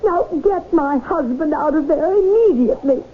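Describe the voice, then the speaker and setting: An actress playing a frantic, demanding, impatient wife in a 1970s radio drama. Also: female voice, high-pitched, lively intonation, moderate pace. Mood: insistent. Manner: insistent, exasperated, melodramatic. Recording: thin, phone-like 1970s radio recording.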